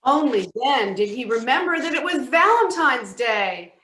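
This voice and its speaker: A woman narrating a scripted storybook tale with deliberate, expressive emphasis. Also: high-pitched, slight background noise. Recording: echoey room.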